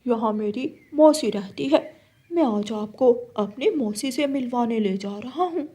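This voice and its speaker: A woman's voice: a little high, expressive, a little slow, mellow, breathy edge. A woman voicing a mischievous, greedy young male cartoon crow with a wheedling, childlike manner. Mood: sly. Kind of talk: cartoon story dialogue.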